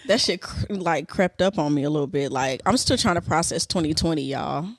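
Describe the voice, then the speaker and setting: A woman's voice: sits low, lively intonation, fairly quick, no room sound. A woman in her late twenties co-hosting a casual podcast chat, talking in a slangy, blunt way and swearing freely. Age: 20s. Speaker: podcast co-host.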